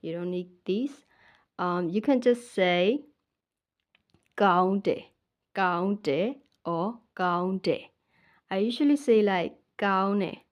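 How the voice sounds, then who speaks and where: A young woman from Myanmar with a Burmese accent, teaching her language in a casual lesson and patiently saying each word twice. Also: medium pitch.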